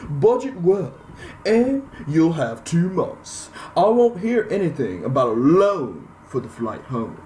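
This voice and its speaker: A man's voice: a little high, big pitch swings, slow, dark tone, roomy sound, slight background noise, voice pushed up. A man voicing a stern, curt father who lectures with open disapproval.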